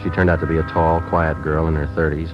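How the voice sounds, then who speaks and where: An actor in 1950s radio drama playing a police sergeant who narrates and questions in a clipped, matter-of-fact way. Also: male voice, a bass voice, level tone, fairly quick. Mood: terse. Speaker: detective narrator. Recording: muffled old radio broadcast with music.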